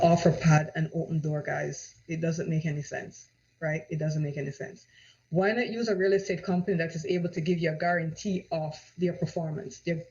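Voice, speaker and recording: Jamaican woman giving a persuasive sales pitch. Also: a little mellow. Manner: persuasive, rhetorical questions, repeats "right?". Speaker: real estate agent. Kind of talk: direct-to-camera sales pitch. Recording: dull lo-fi audio.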